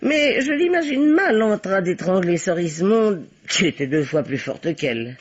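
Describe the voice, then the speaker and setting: A woman playing a bossy, sharp older spinster amateur sleuth in a radio mystery, imperious and precise. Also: on the low side, lively intonation, a little slow, slightly rough. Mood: skeptical, composed. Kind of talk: vintage French radio mystery acting. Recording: dull, lo-fi old radio recording.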